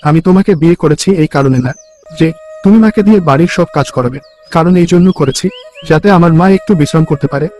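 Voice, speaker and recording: Man acting an indignant, high-pitched young son. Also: fast talker, faint music behind, natural voice. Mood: angry, indignant. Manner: stern, forceful reproaches. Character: indignant, righteous, devoted young married son.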